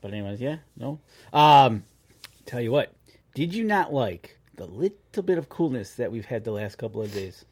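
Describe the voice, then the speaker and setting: A man's voice: medium pitch, sing-song, moderate pace, a little mellow, slightly rough. A man co-hosting a hunting podcast in a cabin, chatting loosely and reading promo codes. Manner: chatty, rambling, reads sponsor codes. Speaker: podcast co-host. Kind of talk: casual chat.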